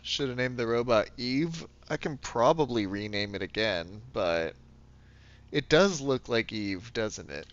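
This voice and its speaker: A man's voice: expressive, unhurried, fairly bright tone. A congested man who is losing his voice, chatting casually and self-mockingly as he streams a game. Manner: low-key, rambling, self-mocking. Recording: dull lo-fi sound with music behind. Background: music.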